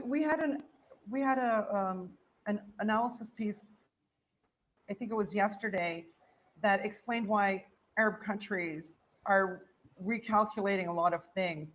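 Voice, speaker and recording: A woman co-hosts a talk panel and speaks fluently, listing her points in steady order. Her voice sits at a mid pitch. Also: measured pace, poor audio. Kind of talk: casual panel discussion.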